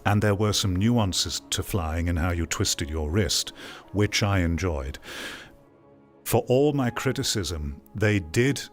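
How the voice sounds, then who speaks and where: Low-pitched man giving blunt live commentary. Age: 30s. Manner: blunt, critical. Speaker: streamer reacting to a video. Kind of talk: off-the-cuff commentary.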